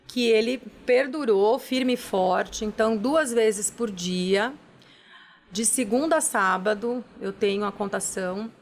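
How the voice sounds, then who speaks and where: Woman guest on a TV talk show, higher voice, eager and talkative, faint hiss behind. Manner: enthusiastic, explains at length with many "né?" tags. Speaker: executive director of a charity, guest. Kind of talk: casual interview talk. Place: TV studio.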